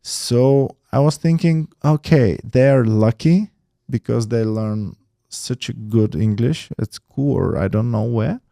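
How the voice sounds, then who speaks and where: A man, hosting a casual interview in thoughtful, measured phrases. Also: mid-range voice, creaky, warm tone, no room sound, clean studio sound. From Eastern Europe.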